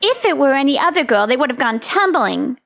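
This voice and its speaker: A woman's voice: high voice, big pitch swings, fairly quick, gravelly, fairly bright tone, soft background music, exaggerated voice. A woman voicing a tough, hot-tempered teenage delinquent girl who talks blunt and threatening. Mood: gruff, easygoing. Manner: blunt, threatening street talk. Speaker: voice actor in a manga dub.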